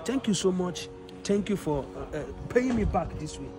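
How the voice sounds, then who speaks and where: A man's voice: high voice, lively intonation, moderate pace. A man with a Nigerian accent playing a generous, wronged customer, speaking emphatically and repeating himself in a skit. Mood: hurt, reproachful. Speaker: actor in an online skit. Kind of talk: improvised moral skit acting. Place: outdoors in an open car yard. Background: music, vehicles.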